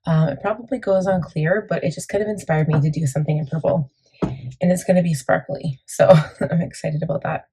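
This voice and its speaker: Beauty vlogger, female, deep and husky, warm mellow tone, chatty and meandering. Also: steady tone, fairly quick, low-quality recording. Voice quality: gravelly, deep. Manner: chatty, rambling, many fillers. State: morning voice, a bit crackly. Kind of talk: casual makeup tutorial chat.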